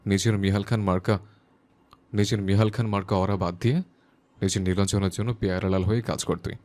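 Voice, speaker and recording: Man playing a low-voiced, lovestruck, stubborn young teacher. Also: fairly even intonation, brisk, a little mellow, natural voice. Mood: self-scolding. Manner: intense self-talk, scolding and urging himself. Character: lovestruck, stubborn young male teacher driving himself through a sleepless night. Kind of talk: dramatised audio story acting.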